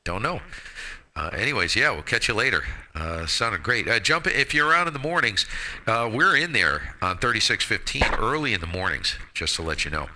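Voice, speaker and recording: Bright, low-voiced man of about sixty. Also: animated, normal speed, low-quality recording. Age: 59, about to turn sixty. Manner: jokey, self-deprecating, slangy. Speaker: amateur radio operator. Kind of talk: casual radio chat with friends.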